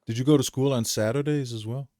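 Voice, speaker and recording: A man's voice: mid-range voice, fairly even intonation, fast, dark tone, no echo, clean studio sound. A man hosting a podcast, chatting reflectively and casually with frequent fillers. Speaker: podcast host. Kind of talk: casual conversational podcast chat.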